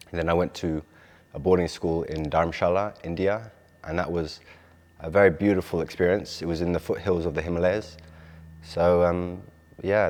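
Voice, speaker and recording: Low English male voice in echoing hall. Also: a little mellow. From London, England. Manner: thoughtful, earnest. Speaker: artist. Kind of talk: reflective talk about own art.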